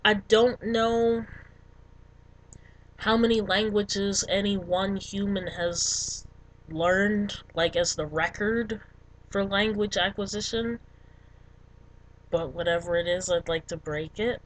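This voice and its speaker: A woman's voice: mid-range voice, fairly even intonation, takes it slowly, long pauses, rough recording. A young adult woman talking casually to camera as a language vlogger, rambling with many ums and likes. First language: English.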